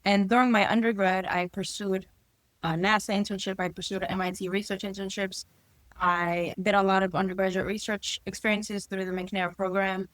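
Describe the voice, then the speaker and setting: Podcast guest, woman in her 20s from New Jersey, earnest and steady, dry recording. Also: mid-range voice, moderate pace, no echo, poor audio. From New Jersey, USA. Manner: earnest, lists achievements steadily. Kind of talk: casual interview talk.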